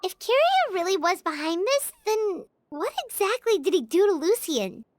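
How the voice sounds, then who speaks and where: A woman's voice: very high-pitched, big pitch swings, moderate pace, slight background noise. A woman voicing a tiny, chatty female floating companion who talks about herself in the third person. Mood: eager. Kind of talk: video game voice acting.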